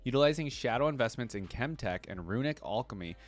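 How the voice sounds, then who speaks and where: A man's voice: mid-range voice, animated, quick pace. A man hosting a reaction video, mixing casual, enthusiastic commentary with reading aloud and his own interjections. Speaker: video host reacting to game character lore.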